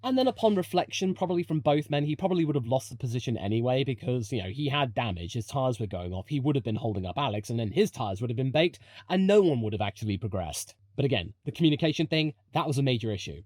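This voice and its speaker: A man's voice: mid-range voice, animated, fast, hardly any pauses, a little mellow, no room sound, clean studio sound. A British man hosting a commentary video, speaking in a chatty, colloquial and emphatic way with plenty of opinion. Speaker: motorsport commentary video host.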